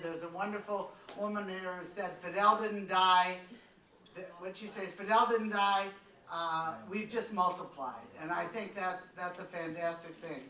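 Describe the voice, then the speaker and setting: A man in the audience of a panel, making an earnest, halting public comment full of restarts. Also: high voice, pushed and bright, a little hiss or hum. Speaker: audience member. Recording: roomy hall, mic held at a distance.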